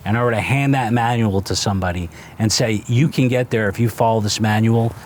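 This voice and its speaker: A man in his fifties with a slightly low voice, talking by a fire pit outdoors. He circles his points with halting fillers and restarts. Faint outdoor ambient noise sits under the recording. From New Jersey. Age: 50s. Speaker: restaurant founder guest. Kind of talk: casual fireside interview.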